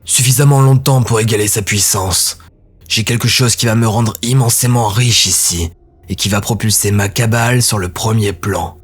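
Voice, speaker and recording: A man voicing a ruthless male dark elf warlord who speaks in a cold, measured way. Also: lowish voice, breathy edge. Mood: defiant.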